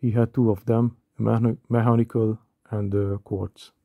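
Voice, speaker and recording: A man in his thirties from Hungary with a Hungarian accent, calmly narrating a scripted voice-over about watches. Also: on the low side, moderate pace, gravelly, phone-quality sound, a little hiss or hum. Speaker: watch collector.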